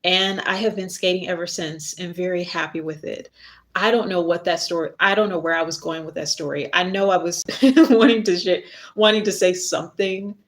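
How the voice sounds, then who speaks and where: A woman's voice: on the low side, normal speed. A middle-aged woman chatting casually on a live stream, rambling a little and laughing at herself as she reads from her book. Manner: rambling, chatty, self-deprecating. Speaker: author and spiritual worker hosting a live stream.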